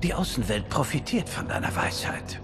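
An actor playing a scheming sorcerer villain in a dramatic video game, with a mocking, unctuous manner. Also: male voice, mid-range voice, very animated, normal speed, natural voice. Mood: sly flattery. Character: scheming male sorcerer villain, smooth flatterer. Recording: loud music behind voice. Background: loud music.

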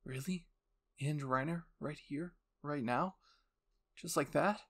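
A man's voice: fairly high pitch, takes it slowly, a bit bright, heavily acted. A man voicing the anxious, defiant inner thoughts of a young soldier in an anime story. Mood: hesitant. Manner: earnest inner monologue.

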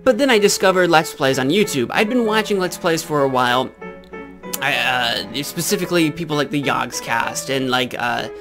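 Man in his twenties, Midwestern American accent, slightly high voice, rambling casual vlog chat, close desk mic, music behind. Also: animated, moderate pace. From Illinois, United States. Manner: rambling, self-correcting, gesturing. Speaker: YouTube gaming channel host. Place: small indoor room with green screen backdrop. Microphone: desk microphone with pop filter, close.

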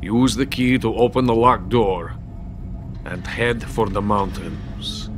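A low-voiced older Soviet weapons scientist, bitter and proud in drink. He speaks with brooding, emphatic theatricality in a game cutscene. Music plays faintly behind him. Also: slow pace. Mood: instructive. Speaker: game character actor. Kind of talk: dramatic video game cutscene acting.

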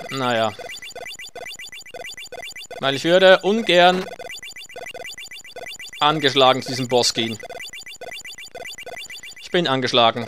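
A man's voice: a little high, sharp tone. A man giving casual, sarcastic commentary while playing a retro game, swearing when he gets hit. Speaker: let's play gamer. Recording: game music behind voice. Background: faint game music.

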